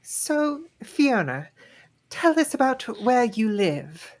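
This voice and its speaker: A woman's voice: on the high side, sing-song, takes it slowly, full character voice. A woman voicing a polite, anxious, conciliatory queen in a comedic table read, gracious and placating. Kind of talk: comedic table read of animated film script.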